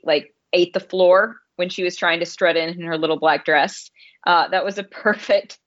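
A woman's voice: on the low side, fairly bright tone, lo-fi sound. A woman co-hosting a casual podcast about films, explaining her opinions in an articulate, conversational way. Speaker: podcast co-host and film critic. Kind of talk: casual podcast film discussion.

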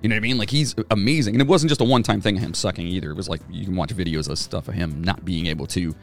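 Gravelly low-voiced man chatting. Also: rapid-fire, soft background music. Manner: rambling, lots of fillers and restarts. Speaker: podcast co-host; former band singer. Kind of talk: casual chat.

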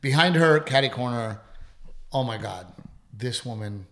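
A man's voice: medium pitch, animated, unhurried, a bit bright. A male comedian and podcast host in casual chat, telling a long, rambling story with lots of restarts and swearing.

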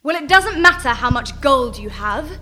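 A woman playing a sardonic bright-voiced heroine. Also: high-pitched, lively intonation, normal speed, soft background music. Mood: sarcastic. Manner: dry, mocking, sarcastic. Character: sardonic adventurous young heroine, unimpressed. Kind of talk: radio play acting.

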